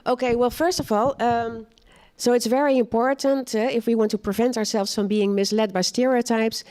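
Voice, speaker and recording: Woman giving a measured seminar talk. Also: on the high side, steady tone, darkish tone. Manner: plain, measured presenting with short checks. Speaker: seminar speaker. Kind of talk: live online talk with audience chat.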